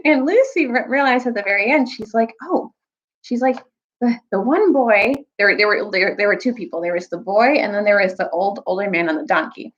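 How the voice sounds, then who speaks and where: A woman reading and retelling a story aloud in an expressive storytime style, like a teacher with students. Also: medium pitch, fast, hardly any pauses, lo-fi sound. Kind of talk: storytime read-aloud with recap.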